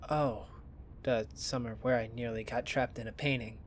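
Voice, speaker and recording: An animated, pushy college student played by a male actor in an audio drama. He banters and gets indignant in a mid-range voice, over faint background ambience. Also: medium pitch, normal speed, a little hiss or hum, lightly acted. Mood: bantering. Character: pushy, teasing, expressive young college student friend. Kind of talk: naturalistic audio drama acting.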